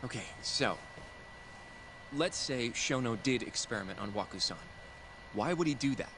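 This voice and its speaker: A man playing a thoughtful investigator who reasons things out in a measured, explanatory way, as game dialogue. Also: mid-range voice, expressive, noisy background, natural voice. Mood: speculating. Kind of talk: video game dialogue acting.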